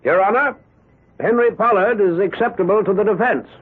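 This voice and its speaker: High-voiced, probing defense lawyer. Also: male voice, slightly rough. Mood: measured, probing. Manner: deliberate, pointed questioning. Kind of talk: 1970s radio courtroom drama acting. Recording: thin, phone-like old radio recording.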